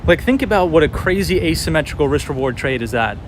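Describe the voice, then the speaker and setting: A man's voice: a little high, fast, low-quality recording. A man in his twenties from New York City, chatting casually and encouragingly with livestream viewers while out walking on a city street at night. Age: 20s. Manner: animated, encouraging, talks to viewers by name. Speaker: livestream host. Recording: outdoor street traffic noise.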